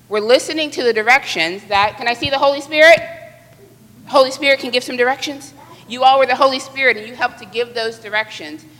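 A woman with a bright, forward voice pitched a little high, cheering on a group and leading them in prayer. The sound rings through a large church, with a long echo behind every phrase. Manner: encouraging, upbeat teacher talk. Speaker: children's message leader. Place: church sanctuary. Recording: echoey church from a distance.

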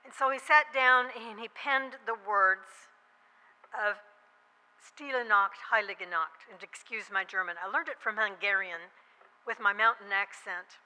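A woman's voice: mid-range voice, a little slow, bright, forceful tone. A woman pastor telling a story in a chatty sermon, breaking in with self-deprecating asides. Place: church sanctuary. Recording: long reverb of a church hall.

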